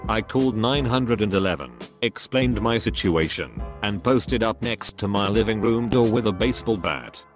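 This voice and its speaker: A man narrating first-person stories in a steady, even read-aloud delivery. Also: on the low side. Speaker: narrator reading online stories.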